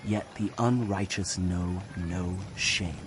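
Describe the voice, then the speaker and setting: An actor playing a grave prophet, narrating scripture dramatically in a solemn, declamatory way. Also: male voice, low voice, fairly even intonation, slow, background noise. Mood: grave, condemning. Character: grave male prophet proclaiming doom and hope.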